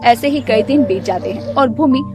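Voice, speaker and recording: A woman narrating a scripted animated story in a storyteller's style. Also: a little high, moderate pace, music in the background.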